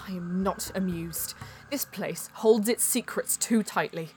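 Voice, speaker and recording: A woman playing a solemn Eldar bonesinger who narrates her quest in a measured, poetic way in an audio drama. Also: medium pitch, darkish tone, close to an everyday voice. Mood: frustrated. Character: female Eldar bonesinger, solemn, mystical, easily frustrated seeker. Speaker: narrator and lead character. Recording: music under the voice. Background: background music.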